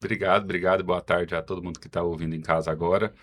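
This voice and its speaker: A man's voice: sits low, fairly even intonation, very fast, fairly bright tone. A man with a Goiás Brazilian accent giving casual interview answers, self-deprecating and wandering into explanatory asides. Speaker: podcast guest, city health secretary.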